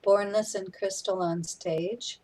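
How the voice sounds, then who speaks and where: A woman announcing stage directions for a play in a scripted read, with a breathy voice. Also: mid-range voice, steady tone, takes it slowly, dark tone, low-quality recording.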